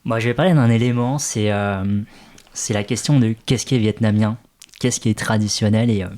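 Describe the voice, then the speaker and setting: A man from France talking casually in an interview, thoughtful and halting, with lots of filler sounds. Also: fairly low pitch, steady tone, a little mellow. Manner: hesitant, many "euh" fillers, thoughtful. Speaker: interview guest, restaurant co-founder.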